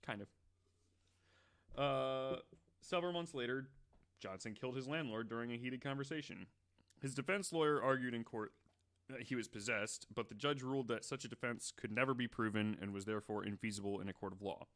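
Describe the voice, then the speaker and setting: A man's voice: medium pitch, a little fast, a bit bright. A man on a casual podcast, reading out facts and breaking off into joking, profane asides. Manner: reads facts then riffs with profane jokes. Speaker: podcast host.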